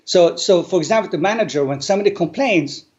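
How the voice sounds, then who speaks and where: A man, an English speaker, telling his life story earnestly and deliberately as an interview guest. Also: high-pitched, animated, darkish tone. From Lebanon. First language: not English. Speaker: guest, business owner. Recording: dull lo-fi sound.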